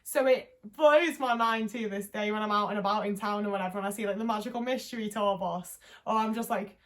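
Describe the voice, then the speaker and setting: A woman's voice: mid-range voice, fairly quick. A young woman in her twenties with a Liverpool accent, chatting casually to camera in a rambling, candid and sweary way, full of "like" fillers. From England. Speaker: vlogger.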